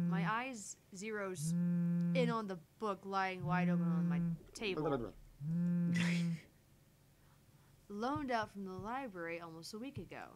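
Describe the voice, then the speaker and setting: A woman streamer reading a visual novel aloud in a narrative style, sometimes stumbling and rereading a line. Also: on the low side. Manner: stumbles over lines and rereads. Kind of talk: reading story aloud with casual asides.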